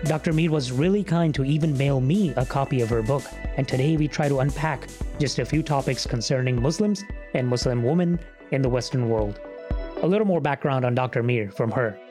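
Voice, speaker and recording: A man hosting a podcast, introducing a guest and narrating in a measured scripted way. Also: mid-range voice, music in the background. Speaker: podcast host.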